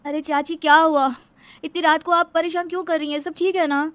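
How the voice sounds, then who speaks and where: A woman's voice: extremely high pitch, fairly even intonation, brisk, fairly bright tone, dry recording. A woman playing a sleepy, puzzled young niece in a horror cartoon. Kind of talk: Hindi horror cartoon voice acting.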